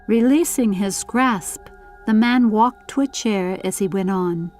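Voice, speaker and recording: A woman narrating a scripted story for an audiobook in a measured, literary reading style. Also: mid-range voice, a little mellow, faint music behind.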